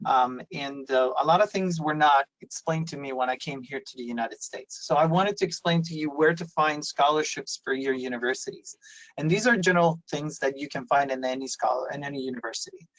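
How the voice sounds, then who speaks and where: A man's voice: on the high side, a little fast, fairly bright tone, no echo, poor audio. A man, raised in Italy, giving a webinar presentation on a video call and explaining things with many fillers and restarts. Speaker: university presenter.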